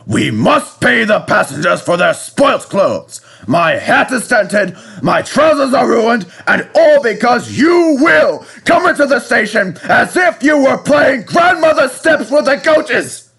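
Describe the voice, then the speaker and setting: A man's voice: extremely high pitch, big pitch swings, slow, creaky, a bit bright, slightly rough, soft background music, pitched above the performer's own voice, heavily acted. A man voicing a pompous, cross railway controller in a storybook, indignant and scolding.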